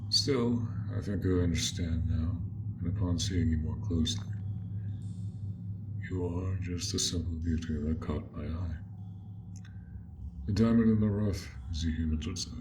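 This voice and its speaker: A man voicing a gentle, awkward dragon in an audio roleplay, with formal, old-fashioned, hesitant phrasing, speaking straight to the listener. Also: deep voice, unhurried, long pauses, background noise. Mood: apologetic, admiring. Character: courteous, awkward, gentle male dragon, formal and hoard-proud.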